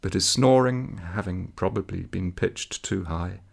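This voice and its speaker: A man's voice: sits low, measured pace, gravelly, husky. A man reading a literary story aloud in a dramatic manner. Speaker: audiobook reader.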